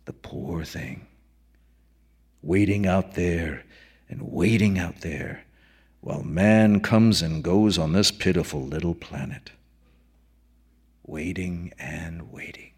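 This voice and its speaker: A man playing a weary, mournful man who speaks in a slow, heavy, pitying way. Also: low-pitched, slightly rough, pitched below the performer's own voice, heavily acted. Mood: mournful, brooding. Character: MacDunn, weary brooding man pitying a lonely monster.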